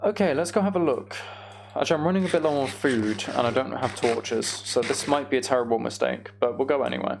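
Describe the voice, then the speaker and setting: A young man giving casual, meandering gameplay commentary on a live stream, thinking aloud and chatting to viewers, with a creaky voice. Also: mid-range voice, a little fast, a little hiss or hum.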